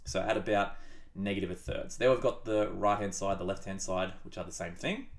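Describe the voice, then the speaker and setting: Low-voiced, congested maths tutor. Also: male voice, a little fast. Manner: chatty, upbeat, with playful asides. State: sick, blocked-up nose. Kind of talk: casual whiteboard maths tutorial.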